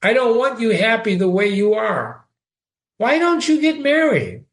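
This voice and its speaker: A man's voice: very animated, unhurried, heavily acted. A man voicing a tearful, indignant young woman, a Scottish nurse who scolds and sobs. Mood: scolding. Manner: shrill, scolding, sobbing.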